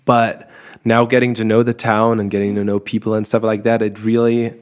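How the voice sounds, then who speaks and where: A man, co-hosting a podcast in a relaxed, rambling chat. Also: on the low side, brisk, clear, resonant tone. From Germany. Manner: relaxed, rambling with restarts and laughs. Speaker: podcast co-host. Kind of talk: casual chat.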